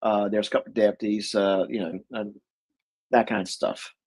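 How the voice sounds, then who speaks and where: A man with a husky voice, co-hosting a casual chat about movies, rambling with lots of fillers and restarts. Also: fairly low pitch, steady tone, moderate pace, a little mellow. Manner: rambling, many fillers and restarts, chuckles often. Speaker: co-host.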